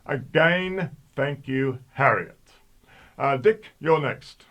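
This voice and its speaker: A man's voice: medium pitch, slow pace, fairly bright tone. A man playing an officious, self-important radio stage manager who nags and fusses over his cast in a comedy. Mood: bossy, impatient. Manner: officious, nagging. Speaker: radio producer. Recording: roomy echo.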